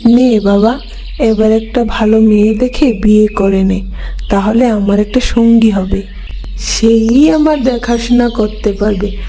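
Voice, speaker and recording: A woman voicing a lonely, pleading old village mother in an animated folk tale, speaking in a gentle coaxing way. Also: a little high, unhurried. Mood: gently pleading. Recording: music behind the voice.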